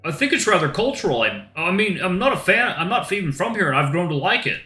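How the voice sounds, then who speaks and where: A man putting on an upbeat young man with a lifted, sunny voice, talking freely and easily. The sound is bright and crisp. A roomy echo hangs on it, with faint guitar music underneath. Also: high voice, big pitch swings, quick pace, pitched above the performer's own voice, heavily acted. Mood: friendly. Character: friendly young male camp-goer, upbeat and candid.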